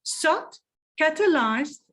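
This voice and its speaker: A woman's voice: high voice, animated, drawn-out words, bright, forceful tone. A woman presenting a health product, reading carefully and stumbling over technical words.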